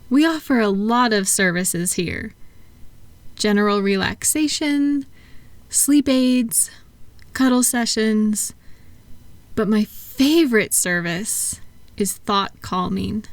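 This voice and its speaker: A woman playing a kind, unhurried attendant at a calming clinic, soft-spoken and reassuring in a relaxation roleplay. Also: medium pitch, no echo.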